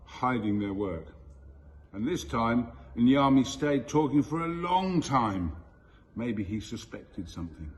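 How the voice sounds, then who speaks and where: Guy reading a children's story, really unhurried, middle pitched voice, pauses for effect. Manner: deliberate, expressive storyteller pauses. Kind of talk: scripted storybook narration.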